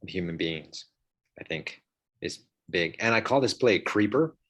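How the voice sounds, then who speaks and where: A man with a low-pitched voice talks casually and reflectively as an actor on a panel. He is halting, rephrasing his thoughts as he goes. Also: animated, a bit bright, slightly rough. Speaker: cast member, panel guest.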